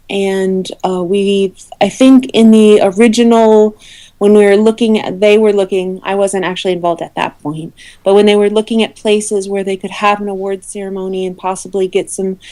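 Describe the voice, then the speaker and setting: Mellow-voiced woman explaining, often rephrasing. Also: medium pitch. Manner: hesitant, often rephrasing mid-sentence. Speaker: interview guest, awards committee head. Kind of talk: casual explanatory interview chat. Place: remote call into a podcast.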